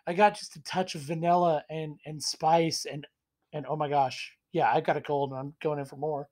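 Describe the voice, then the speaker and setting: Hoarse man with a cold reviewing whiskey. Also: sits high. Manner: rambling, self-deprecating, enthusiastic. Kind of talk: casual tasting vlog. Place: small room indoors at home.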